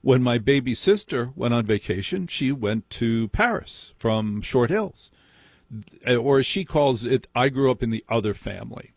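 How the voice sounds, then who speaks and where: A man's voice: medium pitch, expressive, a little slow, no room sound. An American man hosting a live call-in radio show, speaking emphatically and repeating phrases for effect. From New Jersey, United States. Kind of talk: live talk radio conversation.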